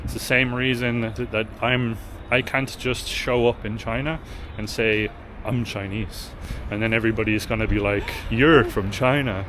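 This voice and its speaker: Man, vlog host, blunt, indignant, emphatic, outdoor street, clear traffic noise. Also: mid-range voice, steady tone, normal speed, few pauses, a bit bright. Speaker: travel vlogger, host. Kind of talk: casual walking vlog commentary.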